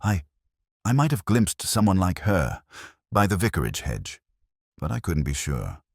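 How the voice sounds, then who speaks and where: Village woman, man acting: brisk, indignant, defensive, raised pitch with a husky edge. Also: very animated, darkish tone, no echo, clean studio sound, heavily acted. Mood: evasive. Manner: snappy, indignant.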